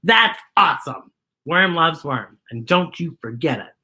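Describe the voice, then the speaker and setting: A woman with a bright, forceful voice hosts a picture-book story time for children. Her pitch swings widely as she exclaims and asks her young listeners questions, in an expressive storytelling style. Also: a little slow, breathy edge.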